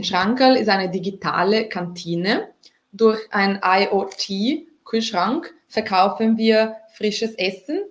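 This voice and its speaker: A woman from Italy, a startup co-founder answering interview questions at length with many fillers. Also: mid-range voice. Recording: roomy echo.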